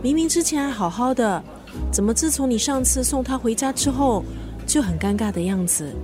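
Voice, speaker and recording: Bossy, teasing matchmaker played by a woman, high-voiced. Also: animated, moderate pace, over music, natural voice. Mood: huffy, teasing. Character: teasing, bossy woman, self-appointed matchmaking family friend. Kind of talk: radio drama acting.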